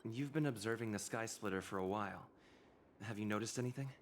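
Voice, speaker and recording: A man voicing a calm, probing investigator in a video game, composed and questioning. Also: on the low side, brisk, a little hiss or hum, lightly acted.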